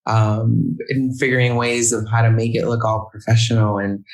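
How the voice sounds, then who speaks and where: Halting, hesitant young man. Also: medium pitch, little pitch movement, rough recording. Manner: hesitant, halting with many restarts. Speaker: guest photographer. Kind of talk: casual interview chat.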